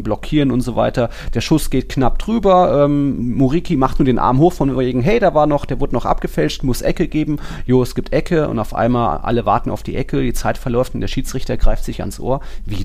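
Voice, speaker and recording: A man with a creaky, gravelly edge to his mid-pitched voice co-hosts a football podcast. He talks in a casual, colloquial way and slips into little acted-out quotes as he retells things. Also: a little mellow. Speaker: podcast host.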